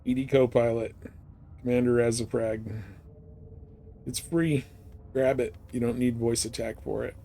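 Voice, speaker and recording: Gravelly man casually streaming a game. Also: medium pitch, steady tone, unhurried, pauses often, warm tone, a little hiss or hum.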